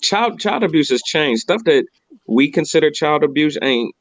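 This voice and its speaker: A man's voice: fairly high pitch, very animated, normal speed, dry recording. A man in casual slangy banter, recorded with a slightly dull sound. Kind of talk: casual chat.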